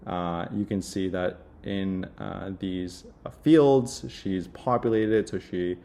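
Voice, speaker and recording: A young man speaking casually and haltingly with many filled pauses, as in a product demo. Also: low voice, vocal fry, dark tone. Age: young adult. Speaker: software demo presenter playing a job candidate.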